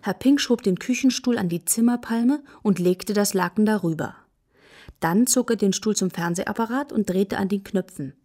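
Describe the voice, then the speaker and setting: A woman playing the narrator of a children's radio play, measured and matter-of-fact. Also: mid-range voice, dark tone, lightly acted. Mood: calm, measured. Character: calm female storyteller of a children's tale.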